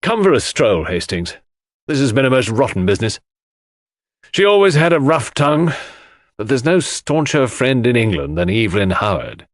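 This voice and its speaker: A man voicing a gloomy, curt English country gentleman in an audiobook, frank and grumbling in manner. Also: mid-range voice, very animated, normal speed, no echo, studio quality, voice pushed up, natural voice. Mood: abrupt. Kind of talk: audiobook character dialogue.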